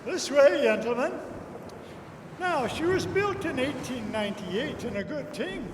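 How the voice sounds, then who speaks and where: A man's voice: very high-pitched, lively intonation, a little slow. A man playing a plain-spoken, opinionated lighthouse keeper in old-time radio drama, emphatic and folksy. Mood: assured, opinionated. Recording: echoey hall, distant mic, background noise.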